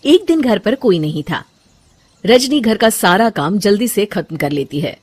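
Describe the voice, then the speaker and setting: A woman's voice: medium pitch, animated, measured pace, darkish tone, slightly rough, no room sound. A woman narrating a scripted cartoon story.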